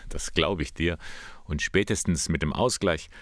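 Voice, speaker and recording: A male interviewer with a low voice. He puts his questions and brief remarks in a conversational tone, speaking directly to his guest. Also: unhurried, a bit bright.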